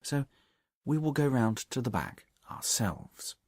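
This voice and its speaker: A man voicing a confident, methodical male detective in an audiobook story, reasoning aloud and giving brisk orders. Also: medium pitch, very animated, darkish tone, dry recording, studio quality, pitched above the performer's own voice. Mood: methodical, instructing. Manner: reasoning aloud, deliberate, commanding. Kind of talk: audiobook character dialogue from a Victorian detective story.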